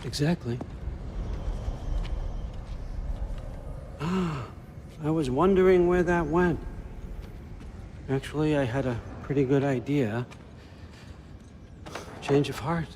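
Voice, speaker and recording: An actor playing a sly, folksy god in a video game, chatty and wry with a needling edge. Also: male voice, fairly high pitch, a little slow, pauses often, darkish tone, a little hiss or hum, natural voice. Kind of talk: conversational video game acting.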